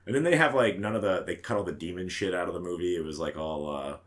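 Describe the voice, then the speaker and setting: A man's voice: low voice, fast. A man chatting casually as a podcast host, talking in a profane, slangy, emphatic way. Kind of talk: casual chat about movies.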